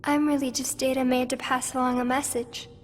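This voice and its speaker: A woman playing a gentle, wistful teenage girl in a video game, speaking softly and solemnly. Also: sits high, flat delivery, fairly quick, a little hiss or hum, natural voice. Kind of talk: video game cutscene acting.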